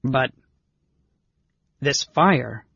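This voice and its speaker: Man acting a gruff, blustering big warrior. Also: mid-range voice, expressive, extremely slow, dry recording, muffled recording, full character voice. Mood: alarmed. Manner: blustering, loud, muttering. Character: big, anxious, gruff warrior twin brother, good-hearted.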